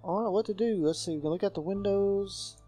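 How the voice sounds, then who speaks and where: Higher-voiced man narrating a game playthrough. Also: fast, dark tone, faint music behind. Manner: reads game text aloud in character voices. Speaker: let's player. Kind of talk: casual gameplay commentary.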